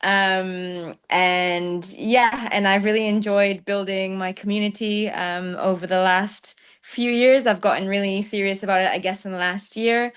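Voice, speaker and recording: A woman's voice: mid-range voice, fairly even intonation, a little slow, hardly any pauses, clean tone. A young woman who grew up in the Netherlands, a travel content creator answering interview questions thoughtfully, with many ums and light laughs. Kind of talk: conversational interview.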